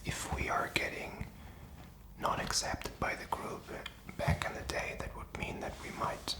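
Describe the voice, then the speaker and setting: A man's voice: a little slow, reverberant, rough recording. A man whispering in a rambling, reflective and informal ASMR style, feeling his way through his thoughts. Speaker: ASMR content creator.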